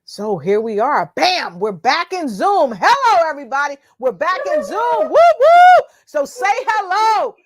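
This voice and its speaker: A woman hosting an online event, excited and whooping as she brings in the guests. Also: high-pitched, measured pace, hardly any pauses. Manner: excited, whooping, hypes up the crowd. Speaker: event host.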